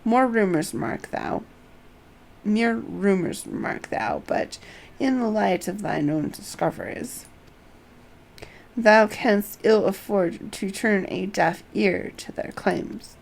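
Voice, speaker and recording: A woman's voice: mid-range voice, slow pace, creaky. A woman voicing a grave, learned advisor from a fantasy game, solemn and archaic in wording.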